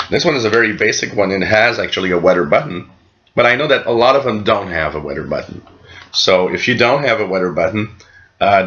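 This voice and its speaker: A man's voice: lowish voice, pushed and bright, lo-fi sound. A middle-aged man from Canada casually explaining things as host of a hobby radio channel, recorded in a small room. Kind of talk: casual explanatory vlog talk.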